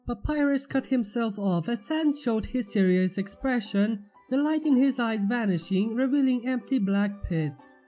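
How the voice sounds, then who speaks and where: A woman's voice: a little high, expressive, a little slow, warm tone, faint music behind, low-quality recording. A woman narrating fan fiction as a channel host, reading scripted prose expressively.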